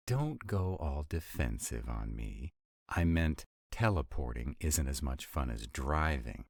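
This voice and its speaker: A man's voice: vocal fry, a little mellow, dry recording, clean studio sound, heavily acted. A man playing a sarcastic, grumbling yet affectionate vampire in a romantic roleplay audio, with exasperated, intimate delivery. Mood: tender teasing. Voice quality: very deep voice. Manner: sardonic, exasperated, intimate, swooping emphasis.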